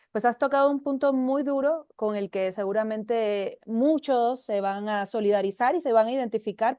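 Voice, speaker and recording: A woman's voice: fairly high pitch, warm tone, clean tone, dry recording, clean studio sound. A woman hosting a conversational interview, speaking reflectively and often checking in with short tag questions. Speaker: podcast interviewer and host.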